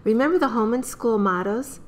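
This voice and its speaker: An American woman playing a brisk, caring mother of a young family in a radio play. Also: a little high, lively intonation, lightly acted. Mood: reassuring. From United States.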